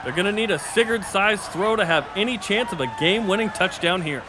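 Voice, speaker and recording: An actor playing an excited football commentator calling a game dramatically in an audio drama. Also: male voice, sits high, a little fast, noisy background, close to an everyday voice. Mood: suspenseful. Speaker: sportscaster. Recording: loud music and crowd behind.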